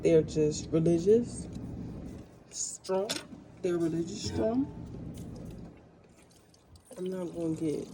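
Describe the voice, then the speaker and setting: A woman from the United States vlogging in a casual, rambling storytelling way while she cooks, recorded without a dedicated microphone. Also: sits low. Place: kitchen. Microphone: no dedicated microphone, built-in device mic. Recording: noisy kitchen room without a microphone.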